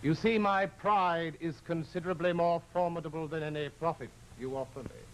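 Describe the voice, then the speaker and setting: A man's voice: on the high side, fairly even intonation, slight background noise, natural voice, rough recording. Man playing suave, courtly Devil in radio horror. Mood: smoothly menacing. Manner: mannered, over-polite, deliberate, with dramatic pauses. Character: the Devil as a suave, proud, courtly gentleman dealmaker. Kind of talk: old-time radio horror acting. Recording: vintage radio drama, music behind.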